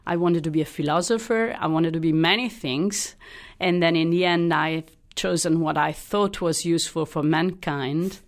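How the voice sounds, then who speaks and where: Low-voiced Italian woman doctor. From Italy. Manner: thoughtful, halting, many restarts and fillers. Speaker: hematologist guest. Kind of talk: interview, explaining her career.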